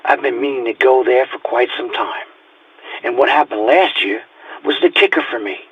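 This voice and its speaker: A man's voice: mid-range voice, normal speed, husky, no room sound, dull audio. A man playing a weary, haunted police detective nearing retirement, speaking a reflective, confiding journal entry in an audio drama.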